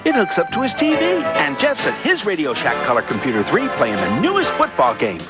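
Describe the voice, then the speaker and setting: Very animated high-pitched male ad announcer. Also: a little fast. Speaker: commercial announcer. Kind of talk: scripted commercial narration. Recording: old TV ad with loud music. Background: loud music.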